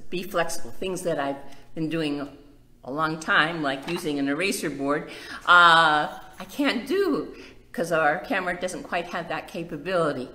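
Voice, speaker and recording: A woman's voice: fairly low pitch, sharp tone. A woman hosting a casual library storytime, chatting directly to young children. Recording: echoey room with faint background noise.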